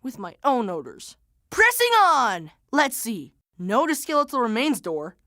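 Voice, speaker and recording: A young man voicing a plucky, excitable boy adventurer in a cartoon, with brash, exclaiming delivery. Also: very high-pitched, a little slow, dry recording, clean studio sound, heavily acted. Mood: determined.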